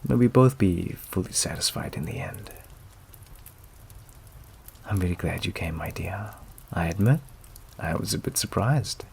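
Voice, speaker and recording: A man's voice: low voice, expressive, unhurried, pauses often, a little mellow, full character voice. A man playing a suave, possessive vampire in intimate ASMR roleplay, silky, teasing and theatrically polite, often dropping to a whisper. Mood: courtly, confident. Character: suave possessive male vampire, menacing, seductive, courteous. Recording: clear background noise and ambience. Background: rain and fireplace ambience.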